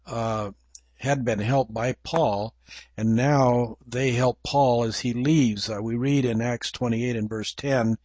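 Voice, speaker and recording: An older man with an English accent gives a slow, reflective Bible teaching, pausing often as he thinks aloud. His voice sits at an ordinary male pitch. The sound is dry and close, with no room around it. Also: fairly even intonation, darkish tone, no room sound. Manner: measured, reflective, with frequent pauses and fillers. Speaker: preacher.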